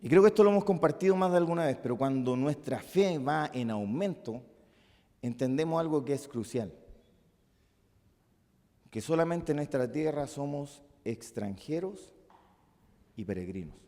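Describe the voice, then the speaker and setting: A male pastor with a Chilean accent preaching a sermon, moving between loud emphatic peaks and soft, musing asides. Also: fairly high pitch, lively intonation, moderate pace, long pauses. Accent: Chilean Spanish. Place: church hall. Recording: long reverb of a church hall.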